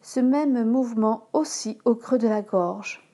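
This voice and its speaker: A woman yoga instructor leading a guided relaxation in a calm, measured manner, giving instructions in short phrases. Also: fairly high pitch. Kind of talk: slow guided relaxation meditation.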